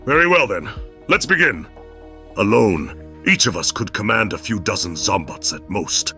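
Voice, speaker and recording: A man's voice: on the low side, big pitch swings, moderate pace, bright, forceful tone, faint music behind, exaggerated voice. A man voicing a smug, menacing villain leader in a comic dub, theatrical, mocking and grandiose. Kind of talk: comic fan dub voice acting.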